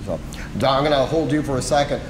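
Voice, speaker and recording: A man's voice: medium pitch, fast talker. Man moderating in an echoey hall. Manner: conversational, emphatic. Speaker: panel moderator. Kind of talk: moderating a panel discussion. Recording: echoey hall with background noise.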